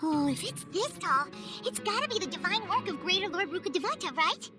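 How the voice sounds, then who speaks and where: A woman voicing a small, childlike, excitable fairy companion in a video game, earnest and exclamatory. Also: very high-pitched, fairly quick, over music. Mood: awed. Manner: refers to self in third person, exclamatory. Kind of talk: video game cutscene voice acting.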